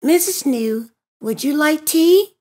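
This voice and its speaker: A woman reading a children's picture book aloud in an expressive, deliberate, sing-song way for young listeners. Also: high-pitched. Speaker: storybook reader and host of a read-aloud channel.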